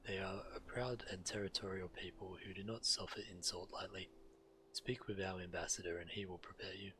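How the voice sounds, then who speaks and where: A man's voice: sits low, level tone, a little fast, bright, forceful tone, slight background noise. A man who streams games, reading fantasy quest dialogue aloud. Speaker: game streamer. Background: game music.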